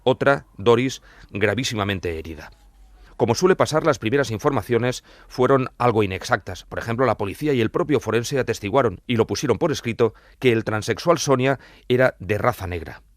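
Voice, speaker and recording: A man's voice: mid-range voice, animated, fairly quick, no echo, clean studio sound. A man hosting a podcast with scripted documentary narration.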